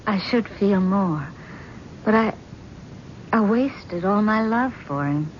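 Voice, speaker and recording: An actress playing a tired, firm and resigned estranged wife in a 1970s radio drama. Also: female voice, medium pitch, expressive, takes it slowly, slight background noise. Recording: muffled old radio recording.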